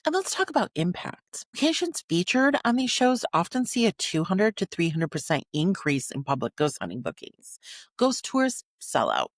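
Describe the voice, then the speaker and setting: Expressive woman hosting a podcast. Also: on the low side, moderate pace, dry recording, studio quality. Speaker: podcast host. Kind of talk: scripted podcast narration.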